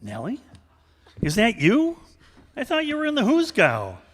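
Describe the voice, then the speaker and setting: Animated male radio variety show host. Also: very high voice, unhurried. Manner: animated, showmanlike. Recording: echoey hall, long reverb.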